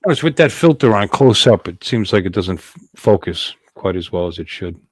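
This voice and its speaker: Animated, jokey middle-aged man. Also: fairly low pitch, normal speed, no room sound. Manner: playful, rambling, jokey. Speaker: livestream host. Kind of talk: casual joking livestream chat.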